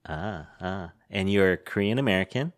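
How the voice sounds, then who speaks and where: A man hosting a podcast, asking questions in a relaxed, friendly way and laughing often. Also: deep voice, normal speed. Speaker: podcast host. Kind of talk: casual interview chat.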